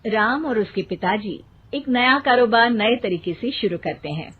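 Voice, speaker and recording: A woman's voice: on the high side, expressive, measured pace, husky. Woman storyteller, thin phone-like sound. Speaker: story narrator. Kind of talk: scripted story narration.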